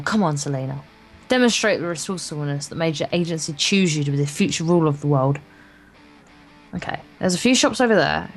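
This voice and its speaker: Woman kinda low voice reading a visual novel out loud for a let's play, trips on words, guitar music in back. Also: rough recording. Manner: stumbles over words, breaks off for wry, sweary commentary. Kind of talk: reading visual novel narration aloud with casual asides. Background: acoustic guitar game music.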